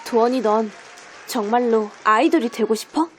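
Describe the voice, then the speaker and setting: A woman's voice: on the high side, normal speed, some chatter behind, slight background noise, lightly acted. A woman playing a determined, caring teenage girl idol trainee in an audio drama, earnest and heartfelt. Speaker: amateur voice actor. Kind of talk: Korean audio drama acting.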